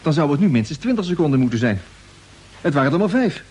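An actor playing a plain-spoken, suspicious spaceship radio operator in a vintage radio drama, wry and insistent. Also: male voice, a little high, sing-song, quick pace, a little mellow, husky. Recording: noisy vintage radio recording.

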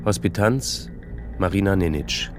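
Deep-voiced male credits announcer over guitar. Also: measured pace. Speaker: radio play announcer reading credits.

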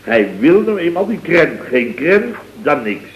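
An actor playing an excitable, self-important boss of a small building firm in a vintage radio comedy, blustery and exclamatory. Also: male voice, a little high, unhurried. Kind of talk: 1970s radio comedy acting. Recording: muffled, noisy, echoey vintage radio recording.

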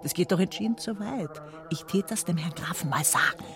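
An actress playing a disapproving landlady in a radio play, gossiping in a hushed, indignant manner. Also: on the low side, brisk, over music, natural voice. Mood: hushed indignation. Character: disapproving landlady whispering complaints about a lodger's guest. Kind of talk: German radio play acting.

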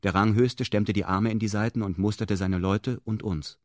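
Low-voiced, detached male narrator. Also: steady tone, normal speed, no room sound, studio quality. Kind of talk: scripted radio drama narration in first person.

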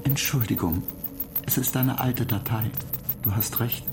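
An actor playing a polite, earnest computer program that explains things in a measured way in a radio play. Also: male voice, mid-range voice, music in the background, close to an everyday voice. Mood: apologetic. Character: polite, apologetic male computer program, slightly touchy.

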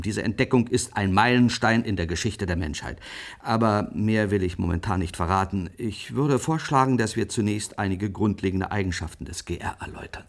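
An actor playing a dignified older professor in a radio play, formal, deliberate and self-assured. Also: male voice, lowish voice, natural voice. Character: dignified, authoritative older male professor, renowned scientist. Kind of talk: radio play acting as an interview.

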